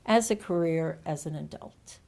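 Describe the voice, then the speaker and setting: A woman's voice: deep voice, normal speed, vocal fry, warm tone. A woman conducting an oral history interview, asking polite, encouraging questions.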